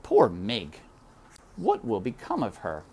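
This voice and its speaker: A man reading a children's novel aloud, dramatic and deliberate, turning to talk directly to his listeners. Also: on the low side, wildly swooping pitch, a little mellow, a little hiss or hum. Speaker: reader.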